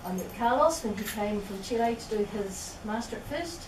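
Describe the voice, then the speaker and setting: A woman's voice: a little high, animated, normal speed. A woman, introducing a guest speaker at an event in a cheerful, enthusiastic manner. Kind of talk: introduction speech. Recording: distant roomy recording with noise.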